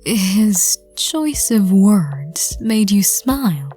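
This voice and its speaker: A woman narrating a scripted audio roleplay in a soft, breathy, whispery voice. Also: medium pitch, big pitch swings, drawn-out words, soft background music.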